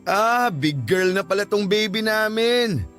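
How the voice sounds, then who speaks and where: A man's voice: sits high, expressive, unhurried, fairly bright tone. A playful father in a Filipino radio drama. He talks affectionately and teasingly, doting on his child, over light background music.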